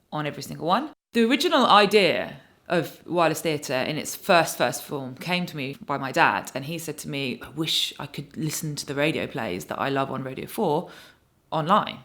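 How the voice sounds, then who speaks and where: A woman's voice: deep voice, a bit bright. A woman who founded a theatre company, reminiscing casually in an interview.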